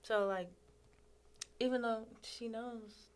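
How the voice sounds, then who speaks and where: A young woman guest in a casual chat who talks in a hesitant, halting way, often stopping and restarting. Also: on the high side, steady tone, smooth. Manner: hesitant, halting, soft-spoken.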